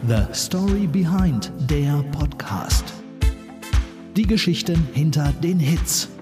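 Woman reading a podcast intro, low and slow, lively pitch, music underneath. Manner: slow, drawn-out announcing. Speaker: show intro announcer. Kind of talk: scripted show intro.

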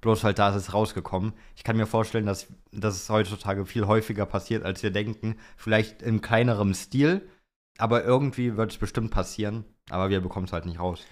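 A man's voice: fairly low pitch, steady tone, fairly quick. A young man co-hosting a podcast, chatting informally with youthful slang. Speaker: podcast co-host. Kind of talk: casual podcast chat.

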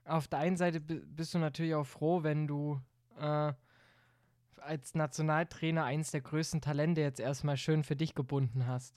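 A young man co-hosting a casual football podcast, relaxed and laid-back, speaking fairly softly. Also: a little high, moderate pace, no echo, clean studio sound. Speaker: podcast co-host.

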